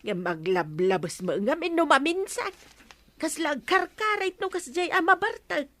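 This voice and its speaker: A woman's voice: very high voice, animated, a little slow, a bit bright, exaggerated voice. An actress playing a complaining village woman in an Ilocano comedy radio drama, with an Ilocano accent.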